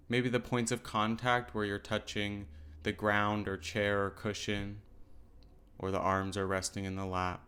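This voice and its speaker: Smooth low-voiced man guiding meditation. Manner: gentle, unhurried instruction with pauses. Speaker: meditation teacher. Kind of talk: guided mindfulness meditation.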